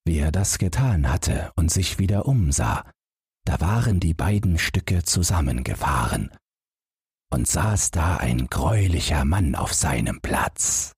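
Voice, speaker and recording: A man's voice: rumbling low, animated, unhurried, darkish tone, slightly rough, no room sound, studio quality. A man narrating a fairy tale as a scripted bedtime audiobook.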